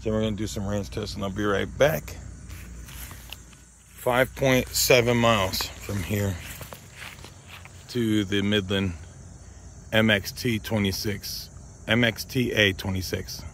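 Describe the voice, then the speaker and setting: A man's voice: lowish voice, steady tone, slow, pauses often, fairly bright tone, a little hiss or hum. A man hosting an outdoor radio range test, repeating test calls and calling to his dog in an open field, recorded on a body-worn camera. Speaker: radio hobbyist host.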